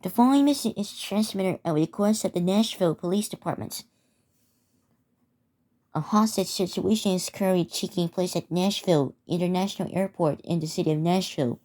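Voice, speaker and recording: A woman reading a scripted emergency announcement in a halting way, stumbling and repeating phrases. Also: fairly low pitch, mellow. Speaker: narrator of a hobby emergency alert scenario.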